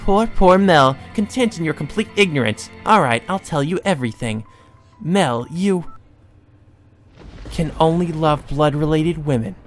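A man's voice: fairly high pitch, expressive, faint music behind, pitched above the performer's own voice. A man voicing a deranged, lovesick teenage sister from a visual novel game, with theatrical, mocking, coaxing delivery. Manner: taunting, theatrical, drawn-out coaxing.

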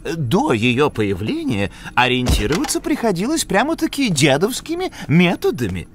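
A man's voice: on the high side, normal speed, background noise. A man voicing a courteous, erudite middle-aged elk scientist in a children's cartoon, with ornate, formal phrasing. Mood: courteous, chiding. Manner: ornate, formal, expressive speech. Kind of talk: children's educational cartoon acting. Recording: loud music bed behind.

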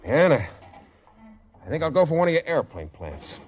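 An actor playing a tough, sardonic working-class man adrift in a lifeboat in a classic radio drama, gruff and blunt. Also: a little high, sing-song, normal speed. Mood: sardonic, needling. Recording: muffled old radio recording.

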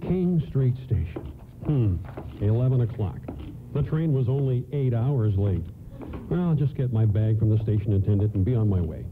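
A man's voice: on the low side, lively intonation, moderate pace, lightly acted. A man playing a weary but determined father traveling by train in a 1950s radio play. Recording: noisy, muffled AM radio broadcast.

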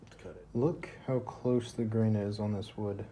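A man's voice: on the low side, steady tone, moderate pace, dark tone. A young man filming his woodworking project on a handheld phone in his workshop, talking casually and rambling with lots of fillers. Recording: noisy phone recording in a workshop.

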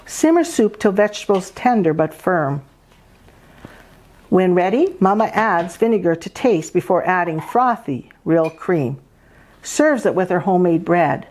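A woman's voice: fairly low pitch, darkish tone. Older woman presenting at a home table. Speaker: author and cooking demo host. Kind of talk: poem reading and cooking demonstration commentary. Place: indoors at a dining table in a home.

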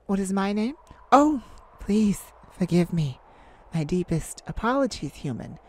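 A woman's voice: medium pitch, sing-song, unhurried, a little mellow. A woman voicing a courteous, easily flustered, ageless celestial afterlife caseworker in an ASMR roleplay, hesitant and apologetic. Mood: welcoming, apologetic. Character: polite, flustered, thousands-year-old celestial afterlife caseworker.

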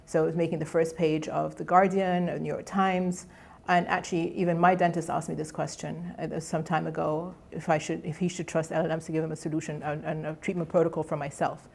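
A middle-aged woman whose first language is Egyptian Arabic speaks from a lecture podium. Her voice sits low with a gravelly, creaky edge. She talks in a loose, conversational way, often breaking off and restarting her phrases. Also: level tone, fairly quick, a little mellow. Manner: conversational, with frequent restarts and fillers. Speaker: guest lecturer. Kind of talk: academic colloquium talk. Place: lecture hall.

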